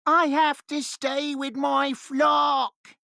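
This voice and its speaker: Woman as a friendly, unhurried young shepherd boy. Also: high voice, level tone, gravelly, bright, forceful tone, no room sound, shouting, clean studio sound, pitched above the performer's own voice, full character voice. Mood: calling out.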